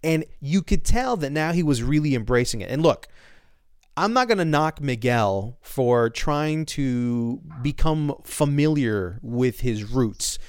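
A man's voice: mid-range voice, unhurried, clean tone, no room sound, clean studio sound. A man hosting a casual podcast chat, talking animatedly and acting out other people's viewpoints. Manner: animated, acts out quoted viewpoints.

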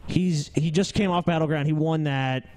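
Higher-pitched young man rambling casually. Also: steady tone, normal speed, a little mellow. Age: 20s. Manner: rambling, sweary. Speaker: podcast co-host. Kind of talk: casual banter and storytelling.

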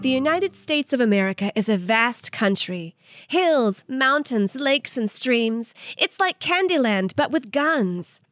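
A woman from Louisiana hosting a comedy podcast, delivering written jokes deadpan with long pauses. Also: a little high, no echo, clean studio sound, soft background music. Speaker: podcast host. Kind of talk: scripted comedy intro and jokes.

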